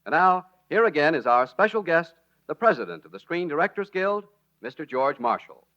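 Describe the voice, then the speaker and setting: A male radio announcer reading credits and promotions in a crisp, formal 1940s broadcast style. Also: a little high, very animated, normal speed, muffled recording.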